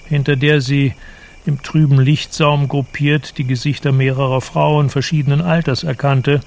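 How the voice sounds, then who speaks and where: A man narrating a literary radio drama in a measured, descriptive manner. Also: mid-range voice, little pitch movement, vocal fry, darkish tone. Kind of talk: literary scripted narration in German.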